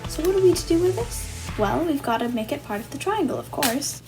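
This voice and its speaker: A woman's voice: high-pitched, animated, fairly quick, over music. A young woman reading a children's story and giving simple craft instructions. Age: young adult. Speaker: volunteer storybook reader and craft demonstrator.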